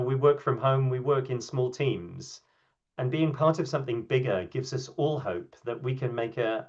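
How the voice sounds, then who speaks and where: A man speaking on a video call as a workshop speaker, answering questions in a relaxed way with many ums and restarts. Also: medium pitch. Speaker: invited speaker at an online workshop. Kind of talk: conversational talk and answers. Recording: dull, lo-fi video call audio.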